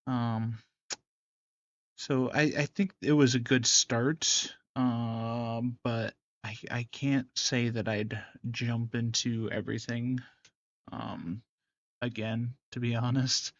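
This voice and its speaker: Slow-paced man in casual game commentary. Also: medium pitch, little pitch movement, lo-fi sound. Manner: hesitant, noncommittal, thinking aloud. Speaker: gaming channel host doing a visual novel readthrough.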